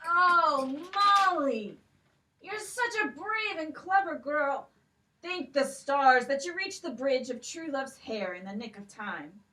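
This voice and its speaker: Woman as a gushing young prince, puppet show, flustered, higher voice, heard from off the mic. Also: animated, slow pace, pushed and bright, distant mic, exaggerated voice, rough recording. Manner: gushing, tripping over words.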